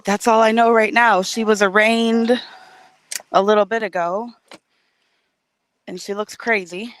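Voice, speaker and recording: Female guest on a call, hoarse and sleep-deprived, speaking from a parked car, full of fillers. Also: medium pitch, fairly even intonation, a little slow, fairly bright tone, dry recording. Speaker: guest caller. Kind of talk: casual chat, giving updates. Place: in her car.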